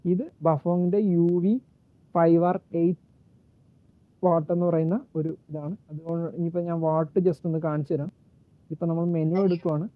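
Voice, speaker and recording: A man giving a casual, unscripted gadget walkthrough in a halting way, searching for words and correcting himself. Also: a little high, level tone, no room sound. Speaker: gadget reviewer and demonstrator. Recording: muffled phone-like narrow-band sound.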